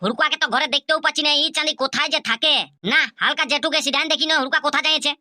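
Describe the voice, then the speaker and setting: A woman voicing a bossy, hot-tempered village elder brother in a Purulia Bengali accent, scolding and quick. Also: sits high, fairly even intonation, pushed and bright, dry recording, clean studio sound.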